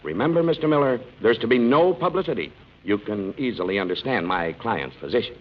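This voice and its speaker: A man's voice: on the low side, expressive, brisk, lightly acted. A man playing a cautious, formal business agent who hesitates fussily, in 1940s radio comedy style. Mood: prim, cautious. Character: fussy, cautious business agent for a secret investor. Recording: dull 1940s radio recording with hiss.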